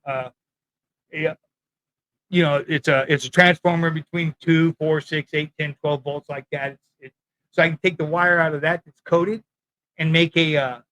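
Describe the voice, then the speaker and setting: Brash male ex-con from California; loud, profane, boastful, slightly raised pitch, rambling delivery. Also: poor audio. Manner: blunt, profane and boastful street talk; rambling, loses his thread. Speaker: former prisoner sharing prison know-how. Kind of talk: casual monologue to camera. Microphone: talking into a mic.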